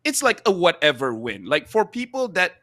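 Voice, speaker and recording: A man's voice: sits high, very animated, moderate pace, pushed and bright, no echo. A man bantering casually as a podcast pundit, blunt and joking. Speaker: podcast panelist and esports pundit.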